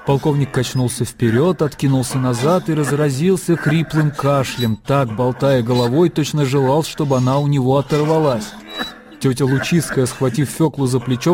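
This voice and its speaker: A man narrating a literary radio drama in a measured storytelling manner. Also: mid-range voice.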